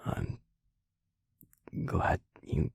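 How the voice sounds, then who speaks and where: A man playing a gruff but tender, easily flustered young werewolf boyfriend in an intimate audio roleplay, speaking in a hushed, halting, husky voice. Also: dry recording, clean studio sound. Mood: awkward, nervous. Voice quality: breathy, husky, gravelly. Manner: hushed, halting, grumbling affectionate swearing.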